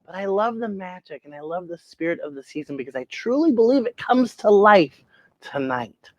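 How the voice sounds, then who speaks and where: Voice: mid-range voice, lively intonation, moderate pace, no room sound, highs cut off. A children's show host talking to young viewers in a theatrical, emphatic storytelling way, drawing out words.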